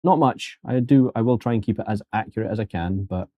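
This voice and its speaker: A man's voice: on the low side, fairly quick, dry recording, muffled recording. A man teaching 3D sculpting on a live stream in a casual, joking way, talking to his viewers as folks.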